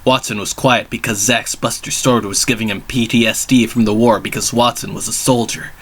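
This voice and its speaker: A man's voice: lowish voice, fairly even intonation, fairly quick, fairly bright tone, husky. A man giving a dramatic reading of a comedic story as narrator, with declarative storytelling delivery.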